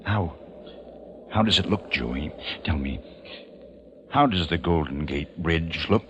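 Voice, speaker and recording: An actor playing a very old, nearly blind patriarch in a 1940s radio drama, wistful and earnest, halting and repeating his phrases. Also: a bass voice, lively intonation, a bit bright, slight background noise, heavily acted. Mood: wistful, yearning. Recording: muffled old radio recording with music.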